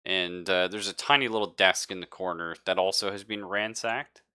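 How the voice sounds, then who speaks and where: Bright, low-voiced man running a game. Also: steady tone, clean tone. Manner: halting, informal, thinks aloud while describing. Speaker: game master. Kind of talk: improvised narration of a tabletop roleplaying game.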